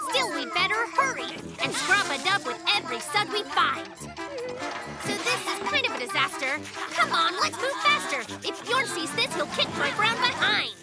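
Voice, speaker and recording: An actor voicing an excitable, cocky little brown bear cub in a children's cartoon, boastful and theatrical in manner. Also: very high-pitched. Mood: hurried.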